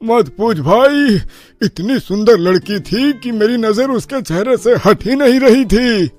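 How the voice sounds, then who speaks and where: A man's voice: very high voice, lively intonation, a little slow, darkish tone, faint music behind. An actor playing a gullible, fearful village man in a cartoon horror story. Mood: smitten.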